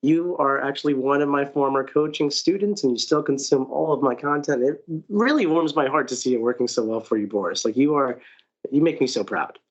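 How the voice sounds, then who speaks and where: A man hosting a podcast, talking in an excited, effusive way and repeating phrases for emphasis. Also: medium pitch, fairly quick, dull audio. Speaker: podcast host. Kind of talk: enthusiastic podcast chat.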